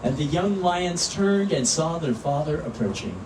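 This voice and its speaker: A man reading a children's story aloud at a live event in an expressive, earnest way. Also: on the high side, moderate pace. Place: outdoor public event at animal habitat. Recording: roomy, distant mic, noisy outdoor ambience.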